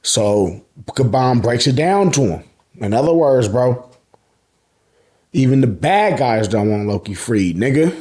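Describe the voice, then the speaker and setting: Hyped American man with very animated pitch. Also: measured pace. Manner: hyped, emphatic, repeats phrases for effect, swears freely. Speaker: host of an anime and manga review channel. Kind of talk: excited fan recap and commentary, slangy street talk.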